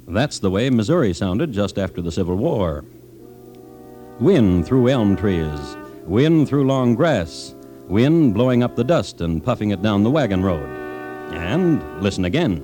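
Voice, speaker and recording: An actor playing a dry, macabre true-crime host, narrating deliberately with wry pauses in an American accent on old-time radio. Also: male voice, fairly low pitch, sing-song, dark tone, soft background music. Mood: wry, grave. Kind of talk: 1950s radio scripted narration.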